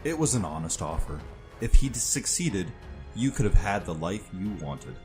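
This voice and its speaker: A man's voice: sits low, sing-song, normal speed, over music. A man playing a ruthless, bitter soldier and father figure in an audio drama, speaking with disdain and self-justification. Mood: cold, matter-of-fact. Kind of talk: audio fiction character acting.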